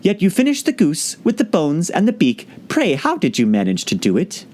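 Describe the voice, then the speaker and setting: A man's voice: a little high, huge pitch swings, moderate pace, a little mellow, voice pushed up. A nosy, impudent youth from a storybook poem, voiced by a man. He recites in rhythmic, sing-song verse. The voice is lifted and swings dramatically up and down. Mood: cheeky, questioning. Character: cheeky, inquisitive youth questioning his father.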